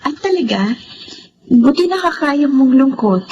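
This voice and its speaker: A woman playing a gentle, grieving but hopeful young orphaned Filipino woman in a drama, earnest and polite. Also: high voice, expressive, a little slow, highs cut off, slight background noise. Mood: tender. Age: young adult. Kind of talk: Filipino radio-style drama acting. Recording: music behind the voice.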